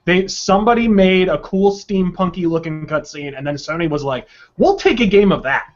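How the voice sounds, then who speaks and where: A man's voice: high-pitched, lively intonation, moderate pace. A man ranting emphatically and sarcastically about video games on a podcast, with plenty of swearing and exaggeration. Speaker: podcast panelist. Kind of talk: opinionated video game podcast talk. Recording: dull, lo-fi online audio.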